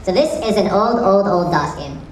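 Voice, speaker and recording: A woman's voice: lowish voice, lively intonation, normal speed. A woman giving a casual, enthusiastic panel presentation with jokey, self-deprecating geek chatter. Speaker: convention panel presenter and site creator. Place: convention panel room with audience. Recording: echoey room, distant mic, audience noise. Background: audience crowd.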